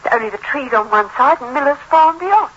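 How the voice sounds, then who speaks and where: A woman's voice: high-pitched, lively intonation, fast talker, a bit bright. An actress playing an anxious, conscience-stricken wife in 1960s radio suspense, hesitant and fretful, repeating her words. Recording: dull old radio recording.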